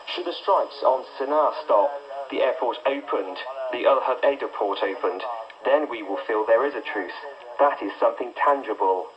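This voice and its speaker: A man voicing a measured statement for a radio news report. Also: mid-range voice. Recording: muffled radio, clear crowd noise behind.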